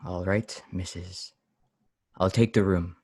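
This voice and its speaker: An actor plays a brooding, pious lodger in an old-time radio drama, severe and easily angered. His voice is low and solemn, with deliberate, brusque phrasing, recorded very dry. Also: steady tone, a little mellow, slightly rough, no room sound, exaggerated voice. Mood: brooding, reserved.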